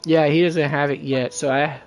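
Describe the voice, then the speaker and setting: A man's voice: on the high side, fairly even intonation, moderate pace, faint music behind. A man doing casual game livestream commentary, rambling and thinking aloud to his viewers. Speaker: game streamer.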